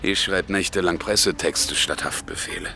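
An actor playing a world-weary, needling police detective in a radio drama, grumbling and muttering. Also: male voice, deep voice, moderate pace, far from the mic, background noise. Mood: sardonic, grumbling. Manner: teasing, grumbling, swings from exclamation to muttering. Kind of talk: naturalistic German radio drama acting.

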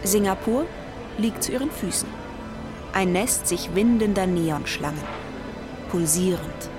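A woman's voice: mid-range voice, animated, a little slow. A woman delivering scripted narration as the narrator of a German radio drama. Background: music.

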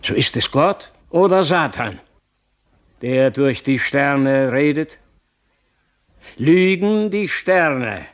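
A man's voice: medium pitch, expressive, slow pace, pauses often. An actor playing a tormented, fearful, imperious king in a German radio play, declaiming with anguish. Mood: agitated, haunted. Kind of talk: German radio play, theatrical dramatic acting.

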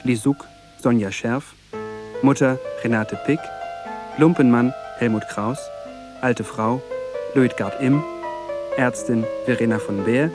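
Man, radio announcer, mid pitch, formal scripted delivery, credit reading, music underneath. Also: slow, long pauses. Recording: music behind the voice.

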